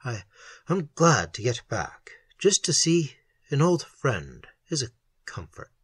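A man voicing a tormented, trembling male explorer, halting and anguished, in a horror audiobook. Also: mid-range voice, wildly swooping pitch, a bit bright, breathy edge, dry recording, exaggerated voice. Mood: timid, halting. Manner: halting, moaning and sobbing, anguished. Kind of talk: dramatic horror audiobook acting.

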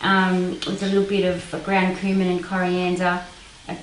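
A woman's voice: lowish voice, monotone, moderate pace, a little hiss or hum. A woman in her thirties giving a casual cooking demonstration in a home kitchen, hesitant with many ums. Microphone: far camera mic. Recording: roomy kitchen from far off with clatter. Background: clattering dishes and cutlery.

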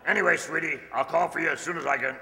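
Older man playing a gravelly, sharp-voiced wisecracking valet. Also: fairly high pitch, fairly even intonation, fast, heavily acted. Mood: chatty, flirtatious. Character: wisecracking male household valet, easygoing and flirtatious. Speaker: amateur radio-play actor. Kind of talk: old-time radio comedy acting. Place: large indoor stage hall, stand mic. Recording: reverberant hall, stage microphone.

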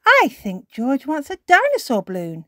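Miss Rabbit, a high polite shop rabbit, as a woman reads her. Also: wildly swooping pitch, moderate pace, a bit bright, dry recording, clean studio sound, full character voice. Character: polite shopkeeper rabbit lady.

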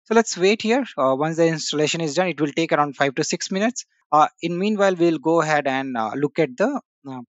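Filler-heavy man walking through software. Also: a little high, no echo, muffled recording. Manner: casual, frequent "uh" and "you know" fillers. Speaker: tech tutorial host. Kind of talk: screen-share software walkthrough.

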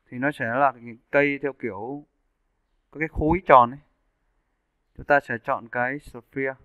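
A man giving a casual, step-by-step software tutorial as an animation instructor. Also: mid-range voice, fairly even intonation, normal speed, no room sound. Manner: informal step-by-step explaining. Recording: muffled narrowband phone-like audio.

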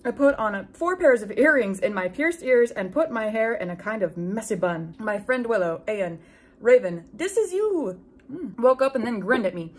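Young woman, wry and animated. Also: fairly high pitch, normal speed, slightly rough. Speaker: YouTube host. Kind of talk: casual chat and reading fan fiction aloud with asides. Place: small room at home with bookshelves.

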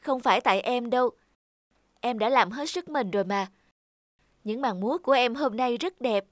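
A woman voicing a kind, encouraging young puppet woman in a cartoon, speaking in a gentle, reassuring manner. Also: on the high side, normal speed, no room sound, studio quality, natural voice. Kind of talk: cartoon dubbing. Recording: loud music under the voice.

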